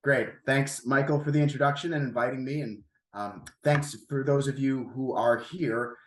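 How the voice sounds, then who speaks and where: A man delivers an academic seminar lecture in a roomy, echoing sound. His voice is a touch raspy from the tail end of a cold. He explains things step by step in informal, approachable wording. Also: medium pitch, fairly even intonation, moderate pace, a little hiss or hum. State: getting over a cold, slightly raspy voice. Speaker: guest seminar lecturer, math researcher.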